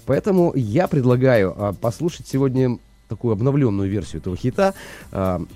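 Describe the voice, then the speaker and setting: Fast-talking male radio host. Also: mid-range voice, lively intonation, dark tone. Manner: chatty, many fillers. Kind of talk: morning radio show chat.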